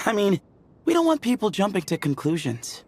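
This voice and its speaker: An actor playing an easygoing, teasing young man who chats playfully, with a gravelly voice. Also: high-pitched, big pitch swings, brisk, darkish tone, slight background noise. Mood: explaining. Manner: playful, chatty. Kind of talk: game cutscene voice acting. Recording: studio voice with faint music.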